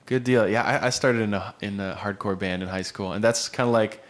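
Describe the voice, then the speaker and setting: A man's voice: fairly low pitch, fairly quick. A man interviewing a band, chatting in an easygoing way and affirming what his guests say. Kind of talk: casual interview chat.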